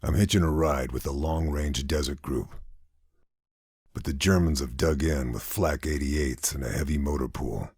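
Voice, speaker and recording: A grim wartime sniper narrates his missions for a video game. The voice is extremely low and heavily rasped, recorded dry and close. The delivery is terse, brooding and deliberate. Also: darkish tone, dry recording, heavily acted. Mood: resolute. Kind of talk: scripted dramatic mission narration.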